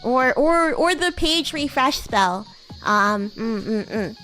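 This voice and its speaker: A woman's voice: a little high, lively intonation, takes it slowly, pushed and bright, soft background music, exaggerated voice. A woman voicing a bratty, playful young demon schoolgirl in a girlish voice, chatting in character on a roleplay livestream. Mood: chatty. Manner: playful, giggly, teasing. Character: bratty young demon schoolgirl class president with baby wings. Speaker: VTuber streamer.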